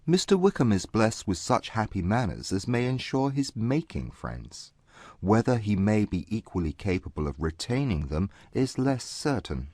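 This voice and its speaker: A man playing a proud, reserved young Regency gentleman, speaking gravely and formally in a dramatized audiobook. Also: on the low side, sing-song, moderate pace, no room sound. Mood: constrained, cold.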